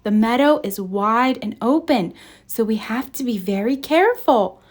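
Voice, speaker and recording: A protective mother deer from a storybook, read by a woman, in a fairly high, slow voice. She is caring and explains things with care. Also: expressive. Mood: cautioning. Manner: caring, instructive.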